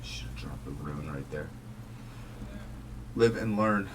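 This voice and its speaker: A man's voice: very deep voice, takes it slowly. A man giving casual gameplay commentary, muttering and thinking aloud to himself. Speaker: gaming video host. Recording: distant mic, loud steady background noise.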